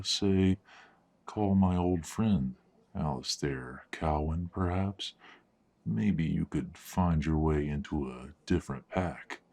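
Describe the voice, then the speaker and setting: A man playing a menacing, controlling werewolf father in an audio drama, husky and breathy, smug and deliberate. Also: rumbling low, a little mellow, full character voice. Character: menacing, controlling werewolf patriarch, middle-aged or older father.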